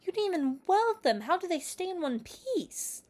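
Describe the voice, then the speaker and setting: A woman's voice: very high-pitched, big pitch swings, normal speed, darkish tone, pitched above the performer's own voice. A woman voicing an eager, incredulous young female would-be ninja in a story reading.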